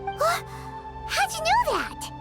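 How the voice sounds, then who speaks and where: A woman voicing a tiny, childlike, excitable fairy companion in a video game, squeaky and chatty, given to exclaiming. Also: slow pace, full character voice. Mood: amazed. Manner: exclaiming, speaks of herself in third person. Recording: music behind the voice. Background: music.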